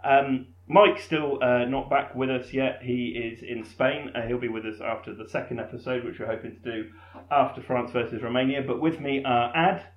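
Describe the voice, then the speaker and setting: A man's voice: medium pitch, fairly even intonation, normal speed. A man in his thirties hosting a casual podcast from his front room, joking and teasing his friends. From England. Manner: jokey, teasing. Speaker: podcast host. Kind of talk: casual chat. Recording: roomy, dull lo-fi home recording.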